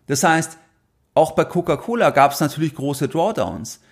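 This is a man presenting a podcast on his own. His voice sits a little higher than most men's. He explains things directly to the listener, often repeating a phrase to underline it. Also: very animated, moderate pace, creaky. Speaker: finance podcast host.

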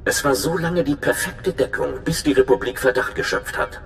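Ein Schauspieler als ernster, berechnender Informant und Spion in einem Videospiel, der eindringlich erklärt. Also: male voice, medium pitch, moderate pace, gravelly, faint music behind, lightly acted. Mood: scheming. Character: earnest, scheming male spy informant. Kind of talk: dramatic video game dialogue in German. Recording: echoey, noisy hologram transmission.

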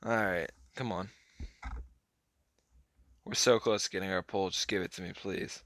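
A young man casually commenting on a mobile card game as he plays, hoarse and clearing his throat, his voice trailing off in a laid-back mumble. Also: sits low, fairly even intonation, moderate pace, a bit bright, no room sound, studio quality.

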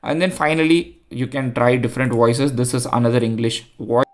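A man's voice: medium pitch, fairly quick. Indian man talking through a tutorial. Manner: chatty, many fillers and restarts. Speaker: tutorial host. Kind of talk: screen-recorded coding tutorial.

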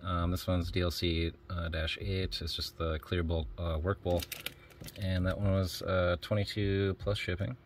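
A man's voice: low voice, little pitch movement, a little slow, noisy background. A man narrating a reselling vlog in a casual, rambling, matter-of-fact way with plenty of filler words. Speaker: online reseller hosting a shipping vlog. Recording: music behind the voice. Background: background music.

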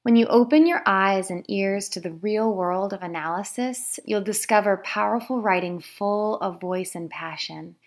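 A woman's voice: mid-range voice, moderate pace, few pauses. A woman who is an author, reading her own book aloud as scripted narration.

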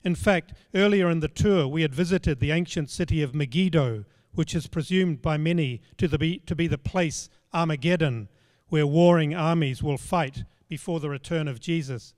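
A man's voice: on the high side, darkish tone. Older man preaching in a hall. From Australia. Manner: measured, storytelling, plain spoken. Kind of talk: sermon. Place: large church stage. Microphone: handheld microphone.

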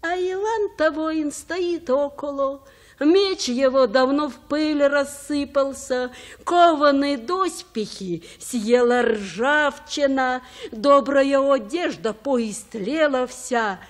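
An actress playing a gentle old grandmother, telling folk tales in a measured, sing-song chant. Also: sits high. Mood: sorrowful, chanting. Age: older. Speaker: storyteller. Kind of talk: folk verse tale, sing-song storytelling.

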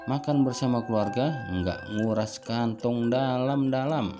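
Upbeat male voice-over over loud music. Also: mid-range voice, unhurried. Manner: upbeat and persuasive. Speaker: channel presenter. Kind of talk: promotional voice-over.

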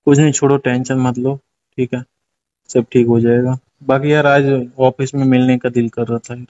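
A man's voice: medium pitch, flat delivery, normal speed, dark tone. A man chatting casually in a private phone conversation, heard on a muffled lo-fi call recording.

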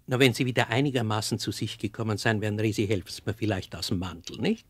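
An actor playing a gruff but kindly Austrian doctor, dryly ironic and blustering, in a radio play. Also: male voice, lowish voice, fast, dry recording. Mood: teasing, kindly.

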